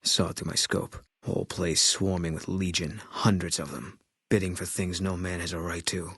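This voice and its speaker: A man voicing a grim, embittered military sniper in a video game, terse and guarded, with a husky voice. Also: deep voice, moderate pace, dry recording, clean studio sound, exaggerated voice. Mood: bitter, subdued. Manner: terse, guarded, flat, clipped delivery. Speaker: video game companion character. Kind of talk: game voice acting, terse dialogue lines.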